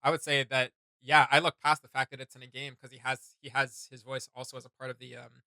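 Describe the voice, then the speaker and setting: A man with a bright, forward voice talks casually as the host of a reaction show. He rambles enthusiastically, thinking out loud as he goes. The sound is close and dry. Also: medium pitch, level tone, fast talker, creaky, no room sound. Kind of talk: casual chat.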